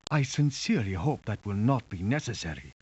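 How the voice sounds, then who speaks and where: A grandiose, coldly menacing video game villain. He speaks formally and deliberately with dramatic sweeps of pitch, captured as a dull, low-fidelity sample. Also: sing-song, a little mellow, husky, exaggerated voice. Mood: smooth, menacing.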